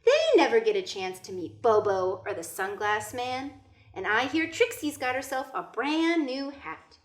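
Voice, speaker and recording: A woman's voice: on the high side, a little slow, reverberant, close to an everyday voice. A woman voicing a wise, spirited storybook grandmother who is firm but cheerful, in a folksy, emphatic way. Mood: gently chiding. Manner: folksy, emphatic, chiding fondly.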